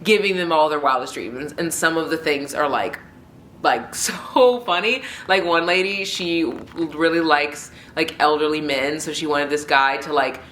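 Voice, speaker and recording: Rambling, chatty woman with a low voice, bright and congested, home vlog recording with an echo. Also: unhurried, slight background noise. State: congested, stuffy nose. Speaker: book vlogger. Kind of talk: casual book review chat. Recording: roomy echo.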